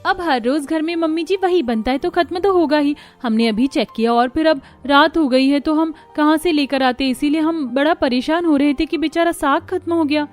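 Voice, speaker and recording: A woman's voice: high voice, normal speed, few pauses, a little mellow, soft background music, voice pushed up, lightly acted. A woman voicing a scheming, put-upon young daughter-in-law in a cartoon story, complaining dramatically. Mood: feigned worry.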